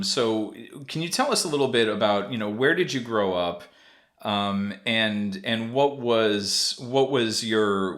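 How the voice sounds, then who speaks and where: A man with a fairly low voice hosts a casual interview podcast. He asks his questions in long, rambling stretches, restarting often and padding them with fillers. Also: fairly bright tone. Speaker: podcast host.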